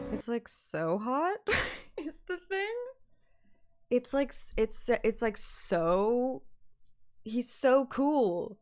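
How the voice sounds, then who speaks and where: A woman streamer chatting casually during a game, giggly and rambling like an excited fan, with music behind. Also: on the high side, drawn-out words, long pauses.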